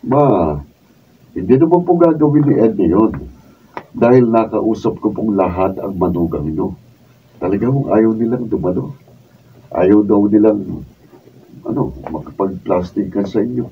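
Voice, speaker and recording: A man's voice: on the low side, a little slow, long pauses, phone-quality sound. A man playing a frank, defensive grown son in a radio drama, pleading, with a Filipino accent. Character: defensive, frank adult younger son, exasperated but loyal. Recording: distant, thin, noisy room recording.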